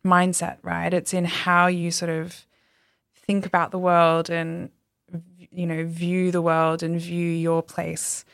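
Woman, low and a bit gravelly, easy conversational guest, trails off as she thinks. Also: monotone, slow, fairly bright tone, dry recording, studio quality. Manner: chatty, thoughtful, trails off mid-sentence. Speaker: yoga teacher and business owner. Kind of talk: casual conversation.

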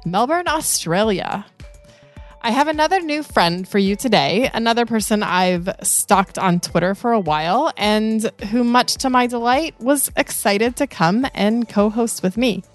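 A somewhat high-pitched woman hosts a podcast with an upbeat, playful delivery. She moves from a scripted opening into easy conversation with her guest. Soft music sits faintly underneath. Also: lively intonation, a little slow. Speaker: podcast host. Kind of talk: scripted podcast intro, then conversational interviewing.

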